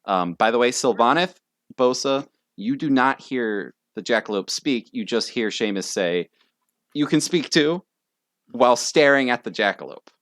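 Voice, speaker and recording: A man's voice: medium pitch, moderate pace, fairly bright tone, no room sound, studio quality. A man running a tabletop game as game master, casual, swearing and laughing often.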